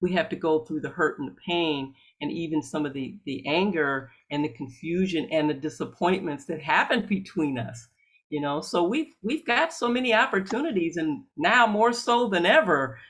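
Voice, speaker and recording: A man's voice: on the high side, lively intonation, normal speed, fairly bright tone, rough recording. A man facilitating a workshop discussion, a clinician speaking in an affirming, encouraging and reflective way.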